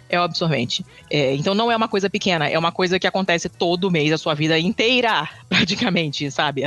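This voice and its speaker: High-pitched brazilian guy rambling on a podcast, lots of restarts. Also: a bit bright. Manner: rambling, many restarts and fillers, admits never being brief. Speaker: podcast co-host. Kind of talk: casual chat.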